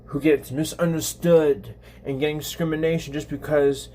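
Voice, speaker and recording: A man in his twenties hosting a casual livestream, talking to viewers in a halting, repetitive way with frequent restarts. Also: a little high, level tone, mellow. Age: 20s. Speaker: live streamer, channel host.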